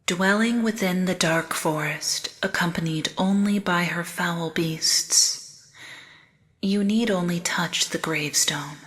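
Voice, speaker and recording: Woman voicing a calm eerie doll, lowish for a lady, slow and solemn, long reverb on it. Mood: calm warning. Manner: soft, measured, solemn, uneasy undertone. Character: calm, eerie living doll guide, female. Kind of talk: gothic audio drama acting.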